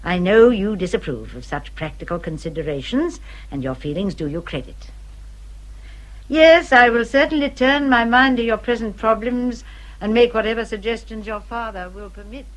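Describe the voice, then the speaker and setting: A woman's voice: on the high side, lively intonation, moderate pace, natural voice, poor audio. An actress playing a kindly, practical older English lady of good breeding in a radio drama, genteel and emphatic. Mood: worldly, tactful. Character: well-bred older lady, kindly, practical motherly adviser.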